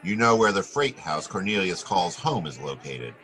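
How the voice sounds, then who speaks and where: An actor playing a wry, wisecracking private detective in an old-time radio drama, with clipped, slangy delivery. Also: male voice, low-pitched, sing-song, moderate pace, a bit bright. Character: wisecracking, hard-boiled male private detective.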